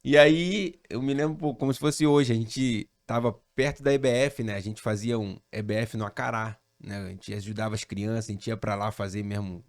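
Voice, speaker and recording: A man's voice: fairly low pitch, normal speed, hardly any pauses, no room sound, clean studio sound. Man from Rio telling a story. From Rio de Janeiro, Brazil. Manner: animated storyteller, relaxed slang. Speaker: pastor, podcast guest. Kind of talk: casual storytelling chat.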